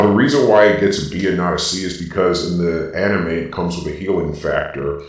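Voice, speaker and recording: A man voicing a robotic dark-lord villain through a robot voice filter, giving opinionated, emphatic casual commentary. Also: deep voice. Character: Darth Vader-like robotic villain explaining things. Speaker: video host ranking anime transformations. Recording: very echoey, distant from the mic.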